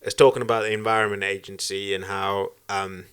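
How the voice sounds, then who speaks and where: A man's voice: on the low side, flat delivery, a little fast, fairly bright tone, clean tone. A man chatting casually as a podcast co-host, sweary and blustering in his banter.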